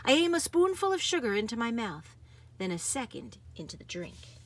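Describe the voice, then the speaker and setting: A woman reading a picture book aloud in an expressive, dramatic manner. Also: on the high side, normal speed. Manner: animated, dramatic, varies from hushed to exuberant. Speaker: storybook reader.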